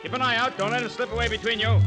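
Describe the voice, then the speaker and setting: An actor playing a brash, jeering patrol leader who barks commands in 1940s radio drama style. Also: male voice, extremely high pitch, fairly even intonation, rapid-fire, over loud music. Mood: barking orders. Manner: barking, commanding. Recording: vintage radio drama recording.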